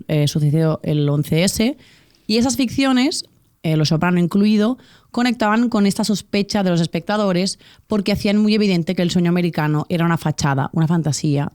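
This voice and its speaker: Woman, podcast host, smooth voice, dry close sound. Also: on the low side, a little mellow, dry recording. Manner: essayistic, with fillers and restarts. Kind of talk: scripted cultural commentary.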